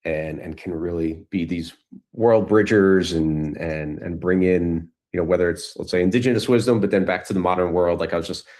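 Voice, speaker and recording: Low-voiced man, reflective casual talk. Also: steady tone, normal speed, darkish tone. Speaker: guest, entrepreneur. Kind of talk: casual conversation.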